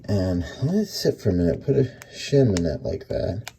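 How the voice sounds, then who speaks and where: A man's voice: low-pitched, sing-song, moderate pace, creaky, dark tone. A man giving casual, unscripted how-to commentary on a repair, matter-of-fact and explaining step by step. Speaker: antique radio repair hobbyist showing a repair. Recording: faint background noise.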